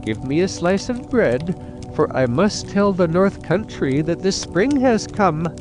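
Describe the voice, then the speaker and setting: High storybook cuckoo, wise, proud, lofty old-fashioned diction, swooping animated intonation, music underneath. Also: male voice, unhurried. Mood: bright, heralding. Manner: lofty, declamatory, old-fashioned formal speech. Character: magical talking cuckoo, wise, proud spring herald. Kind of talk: fairy tale character voice.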